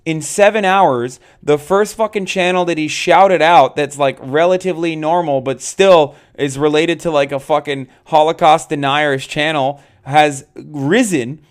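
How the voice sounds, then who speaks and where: High-pitched man ranting and swearing. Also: measured pace, hardly any pauses. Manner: profane, ranting. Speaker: streamer. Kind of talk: casual live stream commentary.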